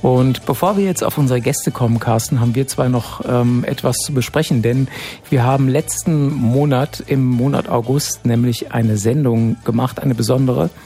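A man hosting a relaxed radio magazine from a studio, chatty and full of fillers. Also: mid-range voice, moderate pace, faint music behind. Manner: chatty, hesitant with many fillers. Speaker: radio host.

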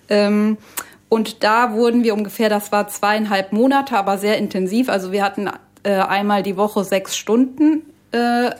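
A woman explains things patiently on a talk radio show, often pausing with fillers and restarting her sentences. Her voice sits at an ordinary middle pitch for a woman and carries an explanatory tone throughout. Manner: hesitant, many fillers, explanatory. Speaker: guest, trained climate guide.

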